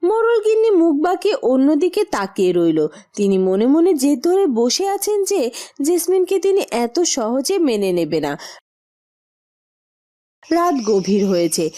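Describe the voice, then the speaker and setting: A woman's voice: high-pitched, warm tone. A woman giving scripted narration for a fairytale cartoon.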